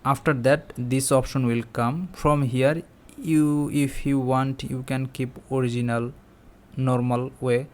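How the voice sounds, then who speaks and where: A man presenting a step-by-step app tutorial in halting, repetitive phrases. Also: medium pitch, fairly even intonation, a little mellow.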